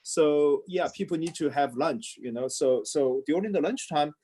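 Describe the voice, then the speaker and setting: Man panelist, fairly high voice, stumbling, repetitive delivery. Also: normal speed, dark tone, breathy edge. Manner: repeats words, restarts often. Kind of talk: panel discussion.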